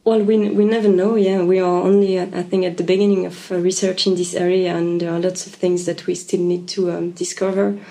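A woman from Switzerland, a biologist answering interview questions thoughtfully, with frequent ums and pauses. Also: on the low side, level tone, gravelly, dark tone. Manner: thoughtful, hesitant, many ums and uhs. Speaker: biologist guest.

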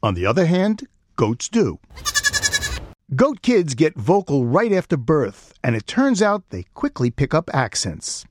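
A man's voice: on the high side, very animated, measured pace, darkish tone. A man from Brooklyn with a New York accent, hosting a public radio interview with curious, playful questions. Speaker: radio show host. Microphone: studio microphone.

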